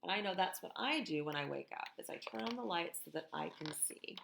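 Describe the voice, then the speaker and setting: An American woman reading a picture book aloud to children in a gentle, expressive teacher's manner, with conversational asides. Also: fairly low pitch, a little fast, gravelly, noisy background. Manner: gentle, expressive, asks listeners questions.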